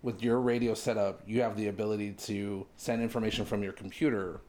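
A man with a fairly low voice conducts a radio interview. He puts his questions in a conversational, straightforward style. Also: fairly even intonation, fairly quick, a little mellow.

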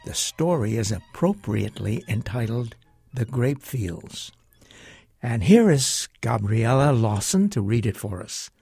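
Male radio host, low gravelly voice, slow measured scripted intro, music behind. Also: very animated, warm tone, no echo.

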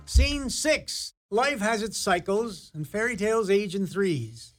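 A man's voice: high voice, animated, takes it slowly, over music. A man narrating a fairy-tale radio play in an ornate, dramatic, theatrical style. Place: radio studio.

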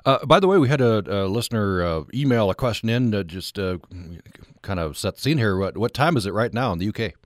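A man's voice: on the low side, animated, fairly quick, no room sound, clean studio sound. A man hosting a radio interview, asking questions with hesitant stammers and restarts. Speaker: radio host.

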